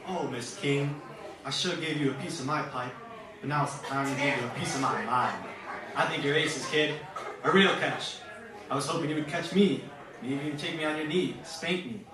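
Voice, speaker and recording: A man's voice: a little high, moderate pace, close to an everyday voice. Man playing echoey flirtatious radio villain. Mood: flirtatious, suave. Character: flirtatious, smooth-talking male villain in detective spoof. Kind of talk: parody old-time radio detective acting. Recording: echoey, distant, with crowd noise.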